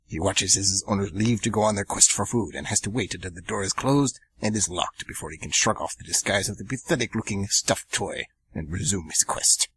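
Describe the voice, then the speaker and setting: A man's husky, fairly low voice with a bright, forceful edge tells the story in a dramatic, ominous way. Every line sounds like a tense hunt unfolding. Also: poor audio. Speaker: narrator. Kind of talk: scripted narration for an amateur radio drama.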